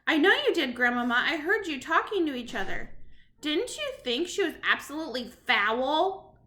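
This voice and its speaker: An eager young boy bursting with excitement, as a woman reading a storybook puts him on. His voice is pushed very high and bright. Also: normal speed, full character voice. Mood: earnest.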